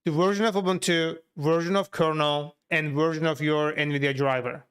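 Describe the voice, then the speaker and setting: Turkish accent guy streaming, smooth clear voice, kinda high, talking casually to chat. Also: steady tone, normal speed, a bit bright. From Turkey. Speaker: livestreamer, software developer. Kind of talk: casual livestream chat, answering viewers while working.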